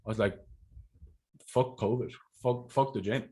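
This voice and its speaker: A man's voice: lowish voice, a little slow, darkish tone, breathy edge. An Irish man in his thirties, a podcast host telling his story in a casual, blunt and sweary way over a video call with a desk mic. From Ireland. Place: home room on a video call. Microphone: desk microphone on a video call.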